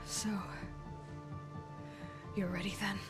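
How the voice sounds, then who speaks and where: A woman's voice: medium pitch, little pitch movement, slow, lightly acted. A woman plays a young huntress from an action game. She is determined and direct, stern with compassion underneath, in a mid-range voice with music behind her. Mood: grim judgment. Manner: direct, questioning, intense.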